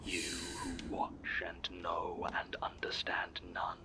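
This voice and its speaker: A low-voiced, scheming villain of audio horror. He gloats in formal, theatrical phrases, smug and measured. The voice is creaky and often drops toward a whisper. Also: monotone. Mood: gloating menace. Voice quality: breathy, creaky half-whisper. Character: gloating, scheming male villain serving an eldritch fear power. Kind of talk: audio horror drama monologue.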